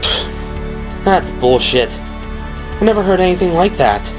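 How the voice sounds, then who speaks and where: A man's voice: sits high, lively intonation, a little slow, voice pushed up. A man performs a nervous police pilot for an audio drama. The voice is raised, scoffing and defensive, easily flustered, with music playing behind it. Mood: uneasy scoffing. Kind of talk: audio drama character acting.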